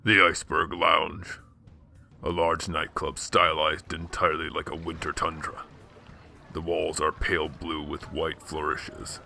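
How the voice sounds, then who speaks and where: A man's voice: extremely low pitch, monotone, measured pace, gravelly, sharp tone, faint music behind, full character voice. A man playing a grave narrator who sets the scenes of a superhero radio drama in scripted present-tense description. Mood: grave, measured.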